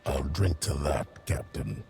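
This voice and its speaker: A grim undead assassin from a fantasy game. His voice is extremely deep and full of gravel. He speaks slowly in short, menacing phrases that sometimes turn breathy. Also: animated, a little mellow, soft background music, full character voice. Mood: dry, menacing. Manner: slow, terse, menacing. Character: undead male assassin, grim, vengeful, terse killer. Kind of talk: cinematic game acting.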